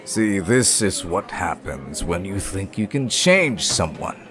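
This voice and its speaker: A man's voice: low voice, big pitch swings, over music, heavily acted. A man acting in an audio roleplay as a stern, unyielding middle-aged father who hunts monsters, speaking in a righteous, disapproving manner. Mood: accusing. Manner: righteous, slow and measured, disapproving.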